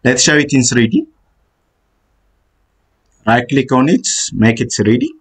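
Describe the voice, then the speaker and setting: A man narrating a screen-recorded software tutorial, giving step-by-step instructions in a halting way with many "uh" fillers. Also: medium pitch, big pitch swings, husky.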